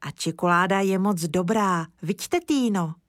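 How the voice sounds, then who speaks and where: A woman voicing a lively, cheeky little village boy in an eager, childlike way. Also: fairly low pitch, no echo, clean studio sound, natural voice.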